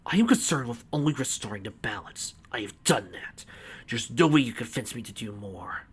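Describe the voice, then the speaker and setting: A man voicing a grim male enforcer who speaks low, clipped and cold as ice. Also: lively intonation, moderate pace, breathy edge.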